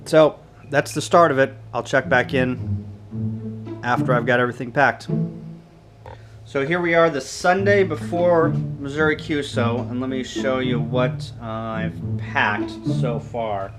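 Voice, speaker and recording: A man doing a casual show-and-tell to camera in a halting, list-like delivery. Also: medium pitch, over music. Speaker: vlogger.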